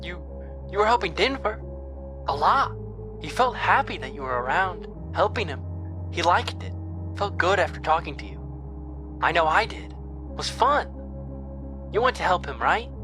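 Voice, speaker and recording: An actor in a horror audio drama playing a sad, frightened young ghost girl who talks haltingly and repeats things like a child. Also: medium pitch, big pitch swings, music in the background. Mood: hopeful, earnest. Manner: halting, repetitive, childlike speech.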